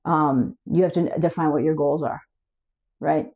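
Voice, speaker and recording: A woman's voice: low voice, fairly even intonation, unhurried, narrow, tinny audio. A woman hosting her own channel, talking casually to camera about raising kids with several languages, often restarting words and checking her notes. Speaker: language coach and channel host.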